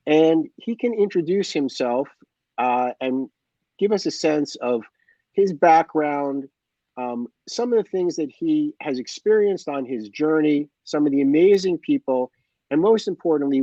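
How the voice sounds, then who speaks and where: Webinar moderator, man, mellow, slightly high, measured, dull dry call audio. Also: no echo. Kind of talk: hosting a webinar. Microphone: video call.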